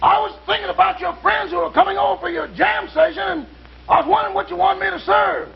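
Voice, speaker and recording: Dull vintage broadcast sound carries a cheeky manservant from a 1950s comedy. The voice is strikingly high and full of gravel. He is brash and sly, stretching out his words. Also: fast. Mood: eager, deferential. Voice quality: gravelly, raspy. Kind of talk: 1950s comedy show acting.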